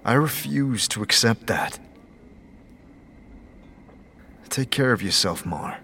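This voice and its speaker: A man's voice: medium pitch, expressive, moderate pace, vocal fry, sharp tone, slight background noise. A man playing a blunt, brooding wanderer in a fantasy audio drama, terse and demanding. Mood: stern.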